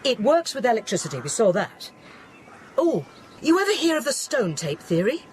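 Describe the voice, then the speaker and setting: Woman scientist as acted, lively and analytical. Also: fairly high pitch, slight background noise. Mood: thoughtful. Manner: explanatory, measured, thoughtful. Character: rational, analytical scientist, patient and steadying. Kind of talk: audio drama acting.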